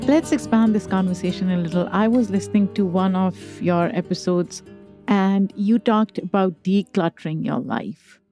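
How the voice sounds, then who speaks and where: A woman hosting a podcast, asking interview questions in a slow, deliberate way. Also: medium pitch, mellow, soft background music. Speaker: podcast host.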